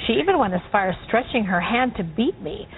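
A woman's voice: medium pitch, expressive, quick pace. A woman reading a letter aloud on a broadcast show. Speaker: reader of a viewer's letter. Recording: phone-like played clip, faint noise.

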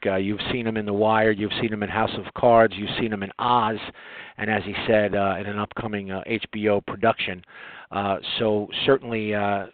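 A man hosting an interview show in a conversational, halting way, often repeating words as he works toward his point. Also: lowish voice, no echo, narrow, tinny audio. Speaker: radio interview host.

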